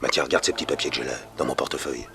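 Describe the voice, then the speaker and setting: An actor playing a naive, boastful, lovestruck small-time crook who flusters easily, in a 1960s French radio crime drama. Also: male voice, very deep voice, fairly even intonation, very fast, pushed and bright, full character voice. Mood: boastful, confiding. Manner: bragging, easily flustered, pleading when threatened. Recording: faintly noisy vintage radio recording.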